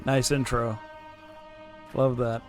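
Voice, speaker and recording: A man's voice: mid-range voice, level tone, slow, over music. A man hosting a fan reaction show, reading out notes and commenting casually.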